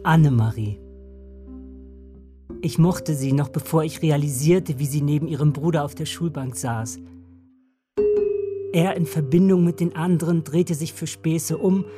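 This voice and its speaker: Radio play narrator, an actor, mid-pitched, measured n lyrical, looking back on childhood, bit of music under it. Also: natural voice. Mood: tender, wistful. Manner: measured, lyrical storytelling in short fragments. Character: adult narrator recalling a lonely village childhood. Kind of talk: literary radio drama narration.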